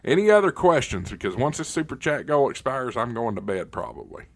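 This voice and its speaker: A man hosting a casual livestream, talking in a folksy, halting way with pauses, close up on a studio microphone. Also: medium pitch, very animated. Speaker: livestream host of a ham radio channel. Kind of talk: casual livestream chat answering viewer questions. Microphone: close directional studio condenser microphone, spoken right up on it.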